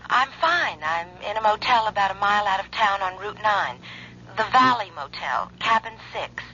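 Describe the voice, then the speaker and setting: Secretive young wife on a phone call, tense bright tone, period radio. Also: female voice, medium pitch, animated, normal speed. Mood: anxious. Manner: hesitant over the phone. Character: young wife who has left home, anxious and secretive. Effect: telephone voice. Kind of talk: 1970s radio acting. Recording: dull old radio broadcast, faint hiss.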